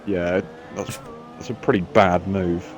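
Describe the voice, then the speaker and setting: A man's voice: low-pitched, steady tone, measured pace, a little hiss or hum. A man commentating a sim race in casual chat, sounding nasal from a cold, rambling and poking fun at himself. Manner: rambling, self-mocking, chatty.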